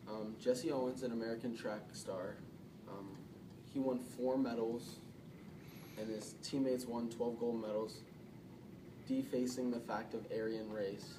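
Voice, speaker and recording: Low-voiced teen boy in a noisy room. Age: teens. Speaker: student sports reporter. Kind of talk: school newscast report. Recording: noisy room, far from mic.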